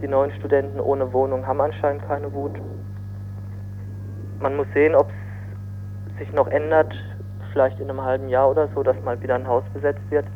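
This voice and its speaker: A man's voice: medium pitch, flat delivery, brisk, pauses often. A man giving spontaneous spoken comments as an interviewee. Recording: dull, noisy recording with hum.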